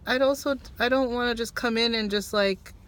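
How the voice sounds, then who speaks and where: A woman chatting casually about a film in an offhand, halting, noncommittal way. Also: on the high side, a bit bright. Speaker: film reviewer on a video channel. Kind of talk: casual chat.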